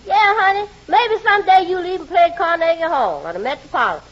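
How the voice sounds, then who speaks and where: Very high-voiced sassy maid. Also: female voice, lively intonation, a little fast. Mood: good-natured, joking. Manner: familiar, wisecracking. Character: good-natured, sassy female housemaid. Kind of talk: 1940s radio comedy acting. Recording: muffled noisy old radio recording before audience.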